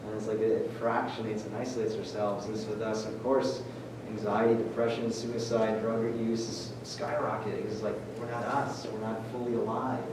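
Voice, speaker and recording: A man's voice: fairly low pitch, normal speed, hardly any pauses. A man, a priest, talking casually to his parish and answering questions, full of "like" and "I mean". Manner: animated, filler-heavy, lots of "like". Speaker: priest giving a parish talk. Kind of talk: casual talk and Q&A. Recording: echoey church hall, distant mic, noisy.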